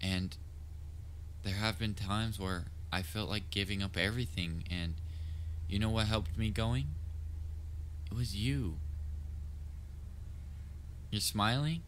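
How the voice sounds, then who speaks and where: A man playing a theatrical supervillain who is soft-hearted and anxiously fond of his heroic nemesis, in an earnest, halting roleplay delivery with wry banter. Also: on the low side, slight background noise, low-quality recording. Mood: tender, sincere. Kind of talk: comfort roleplay audio acting addressed to listener.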